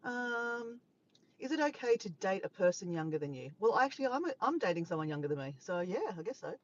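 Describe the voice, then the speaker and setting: A woman's voice: mid-range voice, sing-song, fairly quick, muffled recording, a little hiss or hum. An Australian woman live-streaming in casual chat, rambling and laughing as she reads out viewers' comments. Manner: chatty, rambling, laughs often, greets viewers.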